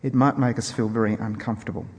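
A man preaching informally to a congregation, explaining in a measured way with emphatic pauses. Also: on the low side, fairly even intonation, darkish tone. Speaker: preacher introducing a Bible reading. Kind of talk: informal sermon introduction to a congregation. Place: church hall before a congregation. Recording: echoey hall with long reverb.

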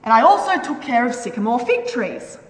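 High-voiced woman declaiming scripture in an echoey hall. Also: very animated, moderate pace. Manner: declamatory, solemn, emphatic. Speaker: reader in a church dramatic reading. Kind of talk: dramatic scripture reading. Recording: echoey hall with long reverb.

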